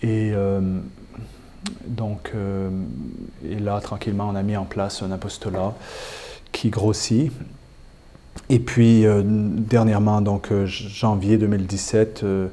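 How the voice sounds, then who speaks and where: Hesitant low-voiced Canadian man. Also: little pitch movement, slow pace, warm tone, slight background noise. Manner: hesitant, many fillers and restarts. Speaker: bishop. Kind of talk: interview answers. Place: chapel.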